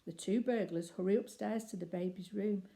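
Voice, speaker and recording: An older woman reading a picture book aloud as expressive children's story narration. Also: mid-range voice, normal speed, creaky.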